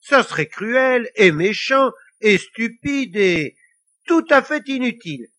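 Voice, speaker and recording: A man's voice: high-pitched, sing-song, moderate pace, pushed and bright, voice pushed up, heavily acted. A man voicing a feisty, blunt eight-year-old girl in a children's story, indignant and defiant. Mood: firm, disapproving.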